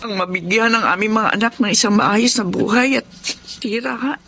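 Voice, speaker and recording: A woman's voice: medium pitch, fairly quick, vocal fry, a bit bright. An actress playing a poor, homeless mother in a Filipino radio drama, speaking in a plaintive, polite, emotional way. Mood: humble sorrow. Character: sorrowful, poor homeless mother, humble and weary.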